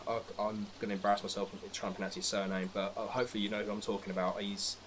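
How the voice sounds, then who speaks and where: A man with a fairly low voice speaks as a podcast guest. He chats casually about films, with some noise audible in the recording. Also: level tone, racing. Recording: noticeable background noise.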